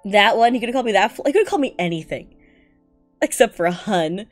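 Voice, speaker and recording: Young female streamer, non-native English speaker, very lively pitch swings, slangy exasperated commentary over music. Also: fast talker. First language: not English. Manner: animated, exasperated, giggly, slangy, profane. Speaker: streamer reacting to fan fiction. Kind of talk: casual commentary while reading aloud.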